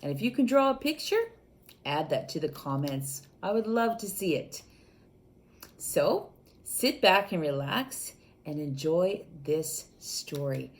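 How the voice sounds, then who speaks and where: A woman reads a picture book aloud to young children, pausing often to ask them questions. Her voice sits fairly low and swoops with lively expression. She speaks slowly and at times drops to a hushed whisper. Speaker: storyteller reading to children. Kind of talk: children's storybook read-aloud.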